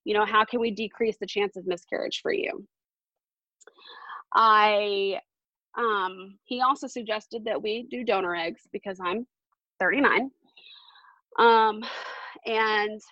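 A woman around forty, a podcast guest telling a personal story in an earnest, halting way with many fillers. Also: mid-range voice, pushed and bright, dry recording, dull audio.

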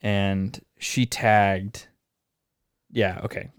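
Laid-back low-voiced guy, sniffly. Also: steady tone, very slow, smooth, no room sound, studio quality. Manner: laid-back, says dude and man a lot. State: sniffling after crying. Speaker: podcast co-host. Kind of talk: casual chat.

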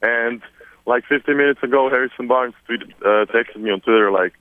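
A Serbian man in his twenties, a newly drafted basketball player, answering press questions in halting, repetitive English. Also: mid-range voice, no echo. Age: 20s. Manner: halting, many repeated words. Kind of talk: phone press conference answers. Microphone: phone. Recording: tinny phone line.

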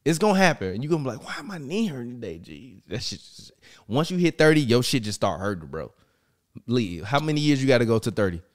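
A man in his thirties co-hosting a casual podcast. His talk is loose and full of slang, and his voice climbs high when he ribs the others. His pitch sits in an ordinary middle range. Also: a little fast. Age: 30s. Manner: animated, slangy, rises sharply when he teases. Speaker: podcast co-host. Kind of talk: casual banter.

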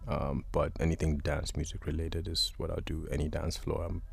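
A young adult man from Uganda, a guest musician answering interview questions in a relaxed, thoughtful way with many pauses. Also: very deep voice, gravelly, husky.

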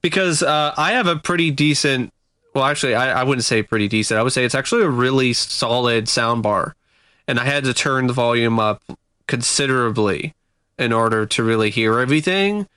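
A man gives his critical take on a film in relaxed podcast conversation, weighing his points and pausing to find the right word. His voice is bright and clear, recorded close and dry with no room sound. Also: mid-range voice, no echo. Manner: measured, critical, searching for words. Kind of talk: casual film review chat.

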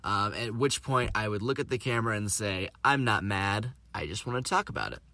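Bright, dry-voiced young man rambling. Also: lowish voice, fairly quick, dry recording. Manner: rambling and self-deprecating, with many fillers. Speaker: podcast host. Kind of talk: casual storytelling monologue.

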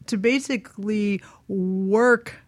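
A woman's voice: mid-range voice, takes it slowly, no echo. Informal woman in dry close studio sound. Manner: informal, laughs easily while talking. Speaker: radio talk show panelist. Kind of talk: casual reflective discussion.

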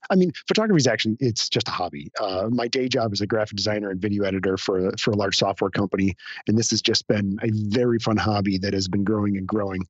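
A hoarse man who is a guest on a podcast, chatting modestly with frequent restarts. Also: on the low side, quick pace, dry recording, muffled recording. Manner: modest, hesitant, many restarts. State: hoarse, recovering from illness. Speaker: podcast guest, hobby photographer. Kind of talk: casual interview chat.

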